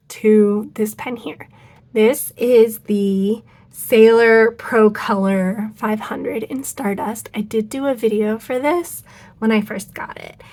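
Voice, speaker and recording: Congested woman chatting about pens. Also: on the high side. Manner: chatty, rambling, self-correcting with many ums and likes. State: nasal and congested from a cold, losing her voice. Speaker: fountain pen vlogger. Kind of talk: casual unboxing chat to camera. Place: home craft studio.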